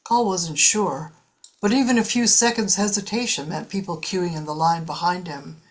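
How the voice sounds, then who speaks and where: A woman's voice: lowish voice, normal speed. A woman narrating a science fiction story in a scripted, audiobook style.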